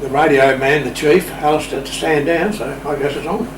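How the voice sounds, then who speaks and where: A man's voice: fairly high pitch, fairly even intonation, brisk. A man telling anecdotes informally as an interview guest, recorded at a distance in an echoey room. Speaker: radio club interview guest. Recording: echoey room, distant mic, clear noise.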